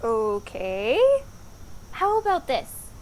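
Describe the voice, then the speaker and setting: Woman as blunt, high-voiced, exasperated young maiden. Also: very animated, drawn-out words, a little hiss or hum, full character voice, low-quality recording. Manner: modern, casual, dry sarcasm. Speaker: voice actor. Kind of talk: comedic fairytale audio drama acting.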